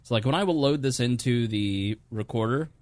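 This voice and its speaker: A man's voice: medium pitch, normal speed, no echo. A man hosting a podcast in a home studio, talking casually on a studio mic and rambling through technical details with a self-deprecating tone. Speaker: podcast host. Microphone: studio dynamic microphone.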